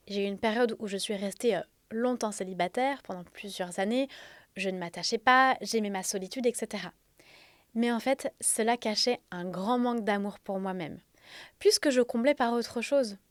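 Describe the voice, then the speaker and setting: A woman in her thirties hosting a spiritual self-help podcast, speaking in an earnest, confiding way with soft fillers. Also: on the high side, dry recording. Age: 30s. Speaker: podcast host.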